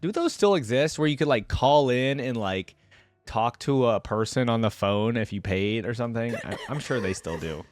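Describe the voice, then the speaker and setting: A man's voice: medium pitch, expressive, moderate pace, no echo, clean studio sound. A man giving informal reaction commentary as host, thinking aloud in a casual way.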